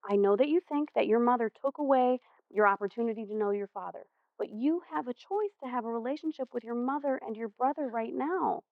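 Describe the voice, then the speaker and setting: A woman playing a caring, patient high school counselor in a stage play, speaking in a gentle, earnest, measured way. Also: dry recording, lightly acted. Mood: gently firm. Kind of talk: amateur stage play acting. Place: theater stage. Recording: muffled, dull stage recording.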